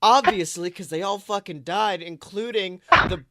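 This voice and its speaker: Podcast co-host, bright edgy tone, dry sound, animated, emphatic, sweary, casual film chat. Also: medium pitch, moderate pace, dry recording.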